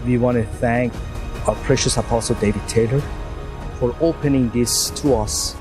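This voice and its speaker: A man giving a fervent religious testimony in halting phrases. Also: medium pitch, fairly even intonation, music in the background.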